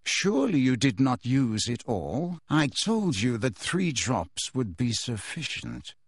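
Cold sneery professor guy, slow and silky, man doing the voice. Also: medium pitch, sing-song, dry recording, clean studio sound, full character voice. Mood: cold sardonic. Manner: slow, smooth, cool, sardonic. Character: cold, sneering, indifferent male potions professor.

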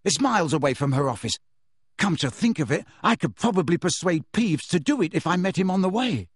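A man's voice: high-pitched, very animated, fairly quick, breathy edge, no echo, studio quality, voice pushed up, full character voice. A man voicing an eager, defensive teenage boy sidekick in an audiobook. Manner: heated, eager. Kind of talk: audiobook character voice.

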